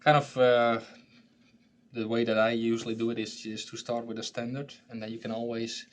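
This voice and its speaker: A man hosting a training session, walking through a software tool in a conversational style. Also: mid-range voice, little pitch movement, moderate pace. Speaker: training presenter and host.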